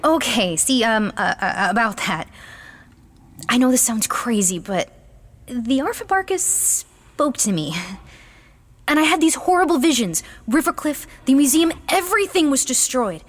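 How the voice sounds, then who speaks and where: An earnest young beastmaster in a fantasy audio drama. Her voice is very high and swings widely, anxious and flustered, often urgent. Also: expressive, unhurried. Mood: nervous confession. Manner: flustered, breathless, stammering urgency.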